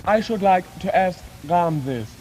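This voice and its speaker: A man with a German accent speaking deliberately and politely in a formal panel discussion, recorded in the 1950s. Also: sits high, animated, darkish tone. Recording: noisy 1950s archive broadcast recording.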